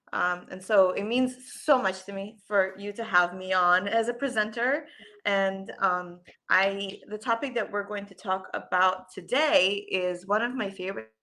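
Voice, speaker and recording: A woman's voice: medium pitch, a bit bright. A woman pediatrician giving an earnest, explanatory webinar talk over a video call, with frequent ums. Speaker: guest presenter, holistic pediatrician. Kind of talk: webinar presentation.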